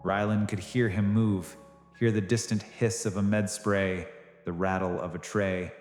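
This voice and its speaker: Man narrating dramatic space story, fairly low voice, echoey with music behind. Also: steady tone, a little slow, a little mellow. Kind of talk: scripted dramatic sci-fi narration.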